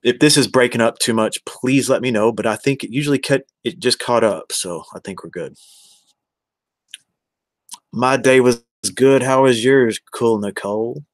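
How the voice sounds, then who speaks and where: A man's voice: medium pitch, normal speed, dry recording. An American man in his forties chatting casually on a livestream, rambling and addressing viewers one by one. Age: 40s. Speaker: live streamer.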